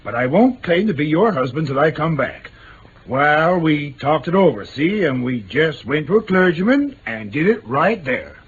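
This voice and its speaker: An actor playing a frank, hearty American prospector and husband in mid-century radio drama, plain-spoken and emphatic. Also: male voice, fairly high pitch, sing-song, normal speed, breathy edge, exaggerated voice. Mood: forthright. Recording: muffled, noisy old radio recording.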